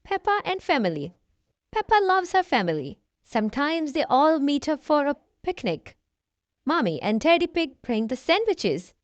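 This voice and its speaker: A woman reading a children's storybook aloud, addressing young listeners in an expressive storytelling manner. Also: high-pitched, normal speed, no room sound, lo-fi sound. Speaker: children's storybook reader, channel host.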